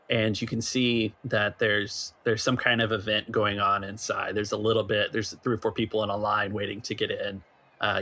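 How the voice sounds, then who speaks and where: A man acting as game master, improvising scene descriptions for a tabletop role-playing game in a halting, explanatory way. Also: on the low side, vocal fry, pushed and bright.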